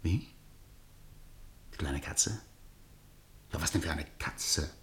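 An actor playing a strict, jealous guardian in a radio drama, stern, sarcastic and lecturing. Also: male voice, very deep voice, slow, a bit bright, roomy sound, whispered, full character voice. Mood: scolding, sarcastic. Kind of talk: theatrical radio drama acting.

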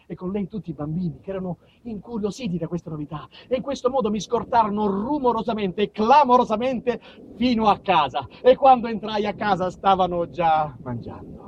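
A man performs a comic monologue as a solo storyteller. His voice sits high and swings widely, with emphatic, dramatic phrasing that drops to hushed and climbs to loud. There is slight background noise, as in a live stage recording. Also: expressive, a little fast, dull audio.

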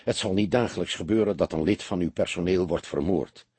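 Man doing a low, husky, weary detective. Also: brisk, mellow, no echo. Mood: meek, apologetic. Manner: hesitant, deferential, understated. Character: world-weary police detective, feigning meek, sheepish humility. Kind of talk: audiobook character voice.

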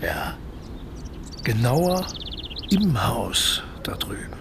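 A creaky male voice with faint background noise, a scheming ex-convict in a radio play. He is sly and embittered, savouring his words and often sinking toward a conspiratorial murmur. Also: mid-range voice, very animated, drawn-out words, exaggerated voice. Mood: secretive, savouring. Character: scheming, embittered ex-convict man plotting a lucrative burglary. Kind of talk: naturalistic German radio play dialogue.

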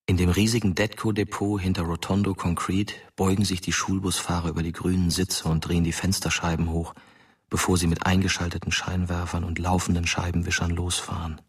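An actor playing a brooding young male narrator in a radio drama, speaking in a measured, intimate way. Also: low voice, flat delivery, slightly rough, far from the mic. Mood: brooding, quiet.

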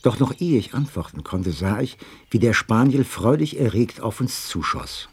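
A man in a radio play acting a detective's loyal gentleman companion, narrating and speaking in a composed storytelling manner. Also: medium pitch, lively intonation, moderate pace, noisy background, lightly acted. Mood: composed, suspenseful narration.

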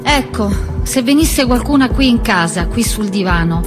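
Actress as a tormented lonely woman, high-pitched. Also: lively intonation, normal speed, natural voice. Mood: feverish, confessional. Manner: clipped fragmentary phrases, raw and intense. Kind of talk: theatrical monologue, stream of consciousness. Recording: music behind the voice.

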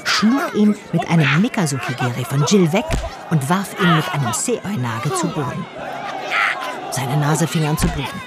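An actress playing a determined, inquisitive investigator in a radio drama, both narrating and questioning in a direct way. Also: female voice, low-pitched, expressive, normal speed, loud music behind the voice, a loud crowd. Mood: matter-of-fact narrating.

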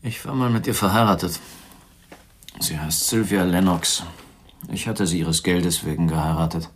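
An actor playing a polite, down-on-his-luck drinker, a weary gentleman with courteous, formal manners and a husky voice, in a noir radio drama. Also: low voice, normal speed. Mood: subdued, frank.

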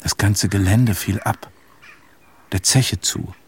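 An actor playing a somber, reflective grown son who narrates a literary radio play in a measured, pensive manner. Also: male voice, fairly low pitch, steady tone, a little hiss or hum. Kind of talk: literary first-person radio drama narration.

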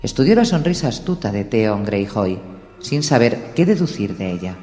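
A man narrating a fantasy audiobook in a steady, even storytelling manner. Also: lowish voice, normal speed. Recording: echoey room with long reverb, faint music.

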